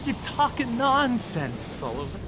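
High-pitched convict thug. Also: male voice, huge pitch swings, a little slow, over music, heavily acted, poor audio. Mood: blustering. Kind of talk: pulp audio drama acting.

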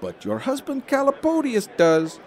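An actor playing a fussy, exasperated magistrate in an audio drama, officious and theatrical in manner. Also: male voice, extremely high pitch, huge pitch swings, moderate pace, exaggerated voice. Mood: exasperated, pleading. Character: fussy, exasperated colonial magistrate, anxious for his career. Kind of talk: full-cast audio drama acting.

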